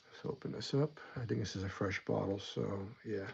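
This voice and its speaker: A man's voice: on the low side, normal speed, gravelly, a little mellow, husky, highs cut off. A man hosting a casual hobby weathering tutorial at his desk, chatty and rambling, a bit stuffy from a coming cold. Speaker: model weathering host.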